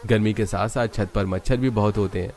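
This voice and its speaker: A man's voice: lowish voice, steady tone, brisk, darkish tone. A man narrating a scripted story as the storyteller.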